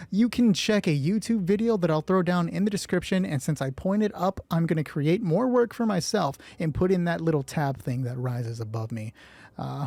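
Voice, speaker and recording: A man narrating a solo tabletop roleplay as its host, moving between hushed dramatic storytelling and chatty explanations of the dice. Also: a little high, no room sound.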